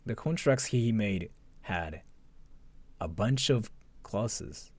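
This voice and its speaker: A man's voice: fairly low pitch, lively intonation, slow pace, darkish tone, dry recording. A young man reacting casually to a video on a livestream, using a lot of slang and hesitantly repeating himself. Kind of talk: casual live reaction commentary.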